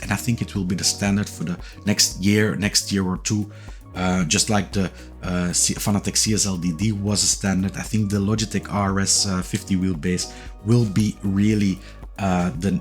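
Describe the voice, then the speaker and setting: A man in his forties, a native Dutch speaker with a Dutch accent, talking casually and hesitantly with lots of fillers as he introduces his review channel. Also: sits low. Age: 40s. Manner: relaxed, hesitant, many "uh" and "yeah" fillers and repeated words. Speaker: YouTube reviewer of sim racing gear. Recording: faint music bed behind the voice.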